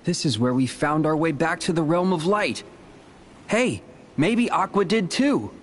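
A man playing a brooding, resolute young warrior in a video game cutscene, calm, measured and earnest. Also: fairly high pitch, slight background noise. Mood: wistful.

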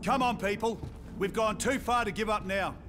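An actor playing a steady, military-minded survivor leader in a video game, earnest and used to giving orders. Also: male voice, high voice, moderate pace, over music, natural voice. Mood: urgent, rallying. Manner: earnest, directing. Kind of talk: video game cutscene acting.

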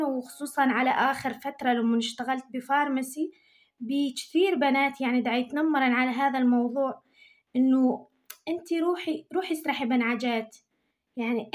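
A young woman with an Iraqi Arabic accent, chatting to her viewers while she cleans her home kitchen, recorded by a camera on the counter. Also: high-pitched. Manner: chatty, talking to viewers while doing housework. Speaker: vlogger. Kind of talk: casual chat while cleaning.

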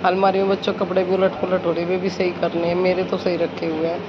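A woman's voice: on the low side, flat delivery, fast. A woman, chatting casually as a home vlogger. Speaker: village vlogger. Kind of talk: casual home vlog chat and narration. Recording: muffled phone audio with clear background noise.

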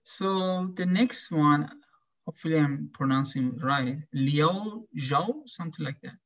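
A man teaching a class over a video call, calling names slowly and asking students follow-up questions. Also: fairly high pitch, rough recording. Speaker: professor.

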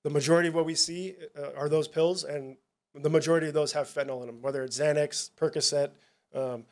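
Casual, halting man presenting to parents. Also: fairly high pitch, fairly even intonation, a little mellow. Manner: casual, halting, many fillers and restarts. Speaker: police narcotics officer. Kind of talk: presentation at a public town hall.

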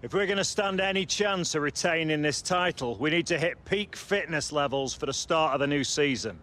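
An actor playing a stern, demanding middle-aged football coach in a video game, commanding and blunt. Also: male voice, a little high, normal speed, a bit bright, slight background noise, close to an everyday voice. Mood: stern, rallying. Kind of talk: video game cutscene acting.

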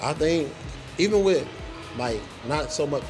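A man's voice: a little high, animated, unhurried. Man, vlog host, casual slangy chat, joking, laid-back, music behind.

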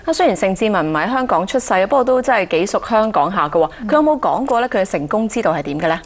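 A young woman presents in a TV studio, speaking quickly in a brisk, chatty way. Her voice sits at a middle pitch for a woman. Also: animated. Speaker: TV host. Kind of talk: scripted studio chat.

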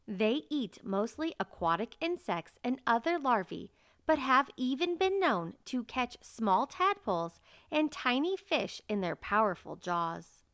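A woman reading a children's nature picture book aloud as narrator, in a measured, carefully enunciated way. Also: fairly high pitch, very animated, fairly bright tone, no room sound, studio quality.

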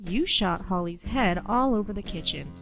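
An actress playing a smug, menacing girl who teases deliberately in a radio play. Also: medium pitch, expressive, vocal fry, a bit bright, faint music behind. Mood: gleefully menacing. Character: smug, menacing girl relishing bad news.

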